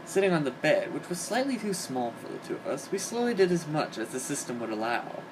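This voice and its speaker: A man's voice: on the high side, sing-song, fairly quick. Man reading fan fiction, noisy recording. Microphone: home microphone on an internet call. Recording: hobby voice-call recording, clear background noise.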